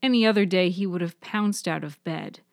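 A woman narrates a serial fiction podcast in a slightly low register. Her phrasing is measured and deliberate, in a scripted literary style. The recording is very dry. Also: vocal fry, dry recording.

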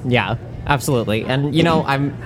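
A woman's voice: rumbling low, fairly quick, background noise. A woman hosting a live podcast in a bar, joking loosely with guests and laughing a lot between her fillers. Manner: laid-back, joking, full of fillers and laughter. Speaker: podcast host.

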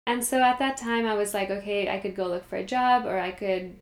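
A woman's voice: medium pitch, fairly quick, roomy sound. A young woman from Kenya, a company founder, telling her story in an earnest interview with frequent ums. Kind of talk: conversational interview. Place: meditation studio.

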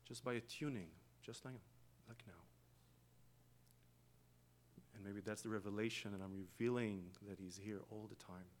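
Soft-spoken Israeli man preaching slowly. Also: fairly low pitch, slight background noise. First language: Hebrew. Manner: slow, reflective, with long pauses and soft trailing phrases. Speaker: guest preacher. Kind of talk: meditative sermon with chanted Hebrew opening. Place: church.

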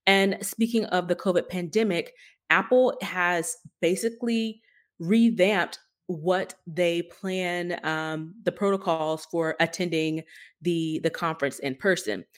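A woman's voice: lowish voice, measured pace. An American woman co-hosting a podcast, talking through tech news in a conversational style. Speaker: podcast co-host.

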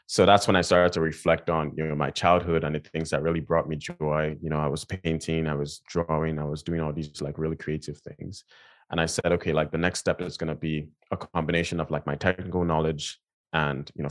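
Very deep-voiced man from Nigeria. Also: brisk. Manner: relaxed, thoughtful, many fillers and restarts. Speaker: guest designer. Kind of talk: casual interview talk.

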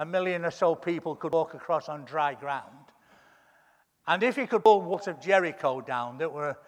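A pastor preaching a sermon in a fervent way, his voice croaky from a cold. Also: fairly high pitch, fairly bright tone, long reverb tail. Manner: fervent preaching, repeats words when building up.

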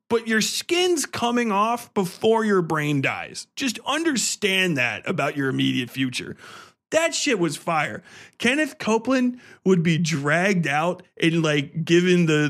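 A man with a somewhat high-pitched voice hosts a podcast. He talks casually and profanely, in an animated, exaggerated comic rant. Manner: animated, sweary, exaggerated, hyperbolic. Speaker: podcast host.

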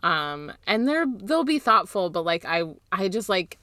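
A woman's voice: medium pitch, very animated, unhurried, sharp tone, dry recording, studio quality. A woman co-hosting a podcast in casual conversation, with an informal, self-deprecating way of talking. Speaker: podcast co-host.

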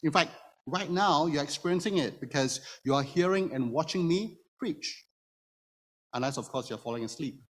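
A man's voice: fairly high pitch, lively intonation, moderate pace. Male preacher in a reverberant hall. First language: Hokkien Chinese. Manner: explanatory, with rhetorical questions to listeners. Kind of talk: expository sermon to a congregation. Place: church room before a congregation. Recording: reverberant hall, long echo.

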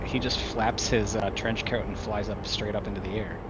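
A man's voice: lowish voice, fairly even intonation, moderate pace, heavy background noise. A man playing a tabletop role-playing game, bantering casually in a wry, mock-serious manner.

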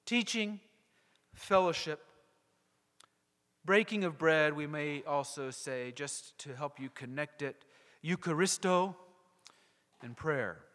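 A male pastor preaching a sermon to a congregation, emphatic and rhetorical, with deliberate pauses. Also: a little high, fairly bright tone. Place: church sanctuary. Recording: long hall reverb.

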